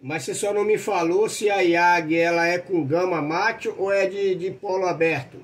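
A man's voice: sits high, fairly even intonation, normal speed. A Brazilian man talking casually as an amateur operator, heard over a two-way radio. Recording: faint radio noise.

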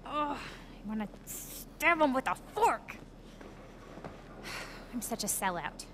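A woman's voice: on the high side, sing-song, takes it slowly, slight background noise. An actress playing a harried young female production assistant with a wry, sarcastic edge. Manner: frazzled, wry, sarcastic asides.

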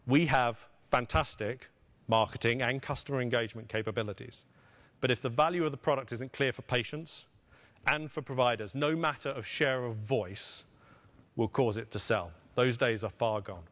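A middle-aged man with a medium-pitched voice speaks from a conference stage. He delivers a prepared keynote in an executive register, setting out his phrases deliberately and pausing for emphasis. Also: a bit bright. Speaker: pharmaceutical finance executive giving a keynote. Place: on stage at a podium in a conference hall. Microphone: podium microphone.

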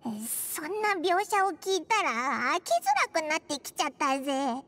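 An actress voicing a tiny childlike fairy companion in an anime-style game, cute, brash and animated. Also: female voice, extremely high pitch, moderate pace. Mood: curious, excitable.

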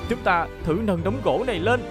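A performer voicing a brave, youthful male police car robot in a dubbed children's cartoon, brisk and decisive like a leader. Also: medium pitch, animated, natural voice. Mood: commanding. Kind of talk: dubbed children's animation acting. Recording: music behind the voice.

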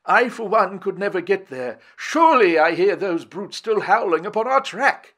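A man's voice: high voice, big pitch swings, normal speed, fairly bright tone, voice pushed up, full character voice. A man voicing an exhausted, earnest older professor. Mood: fearful. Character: weary, exhausted older male professor, dry.

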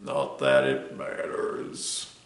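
A man voicing a gloomy old male donkey who talks in a slow, resigned, mournful way. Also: extremely low pitch, completely flat delivery, voice pushed down, full character voice. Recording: roomy echo.

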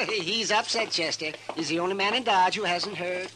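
Actor as high, excitable frontier doctor. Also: male voice, normal speed, natural voice. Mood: teasing. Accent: American. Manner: chuckling, teasing, folksy. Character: gleeful, teasing, excitable frontier town doctor. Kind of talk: 1950s radio western acting. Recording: faintly noisy vintage radio recording.

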